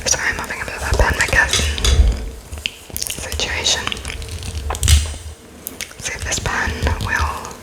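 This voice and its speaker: A woman speaks entirely in a breathy whisper, playing an interviewer in an ASMR roleplay. Her delivery is very unhurried and halting, with phrases spaced out as though she is writing them down. She comes across as polite and apologetic.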